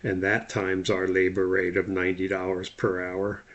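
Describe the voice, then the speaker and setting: A man's voice: low voice, completely flat delivery, moderate pace, a bit bright, highs cut off. A man giving an instructional lecture, explaining things methodically step by step as he talks through slides. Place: voice-over on a slide screen recording.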